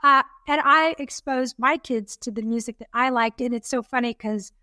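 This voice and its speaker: A woman's voice: on the high side, moderate pace, pushed and bright, no echo, studio quality. A woman in her fifties co-hosting a podcast, chatting casually and encouraging listeners. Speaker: podcast co-host. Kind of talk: casual chat.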